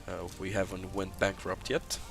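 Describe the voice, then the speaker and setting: A man's voice: sits low, little pitch movement, moderate pace, vocal fry, music in the background. A man from Romania, commenting live as he plays through a fantasy online game. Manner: narrates his actions, many fillers. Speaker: game developer streaming gameplay.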